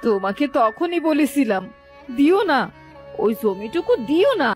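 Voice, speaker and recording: High, nagging, greedy village wife, a woman acting. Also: sing-song, a little slow, darkish tone, faint music behind. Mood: scornful, nagging. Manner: scolding, scornful. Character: greedy, scheming, nagging older sister-in-law.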